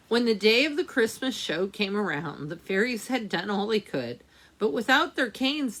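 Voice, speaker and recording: Slow, very animated woman reading a storybook. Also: medium pitch, rough recording. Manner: dramatic, drawn-out phrasing with chatty asides to listeners. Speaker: children's storytime reader at a library. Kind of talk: expressive picture-book read-aloud for young children.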